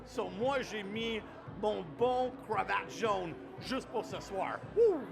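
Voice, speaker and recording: A very high, gravelly male voice, a native English speaker pushing through French with loud, playful energy. He drops English phrases into his French and lets out whoops. Music plays clearly behind him. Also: slow. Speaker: comedian guest. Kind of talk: hyped-up festival interview.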